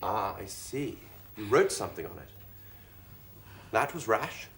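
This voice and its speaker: Man acting a wry soldier captain, animated delivery. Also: on the low side, measured pace, gravelly, full character voice. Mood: reassuring. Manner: matter-of-fact, wry, gently teasing. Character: dry, pragmatic soldier captain, amused and gallant. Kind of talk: stage comedy acting.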